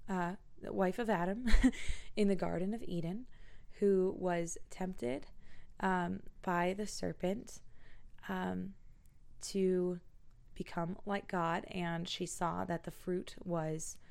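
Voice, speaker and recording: A woman's voice: fairly low pitch, creaky, a little mellow. A woman in her twenties hosting her own podcast at home, thoughtful and searching for words, a little stuffy from a cold. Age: 20s. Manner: thoughtful, searching for words with many ums and pauses. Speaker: podcast host. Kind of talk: casual solo podcast monologue.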